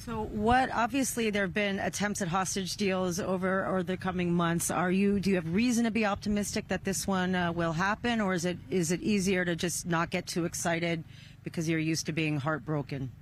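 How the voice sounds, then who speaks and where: A woman conducting a live news interview on location outdoors, with halting, hesitant phrasing. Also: fairly low pitch, steady tone. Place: outdoors near a rural kibbutz. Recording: noisy outdoor field recording.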